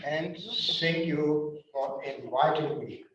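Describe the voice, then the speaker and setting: An older Danish man with a fairly high voice for a man, reading his lecture slowly and carefully. His words come from far off the mic in a very echoey museum hall, and the sound is dull. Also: steady tone, a bit bright. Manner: slow and careful, reading closely from a manuscript. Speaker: guest lecturer.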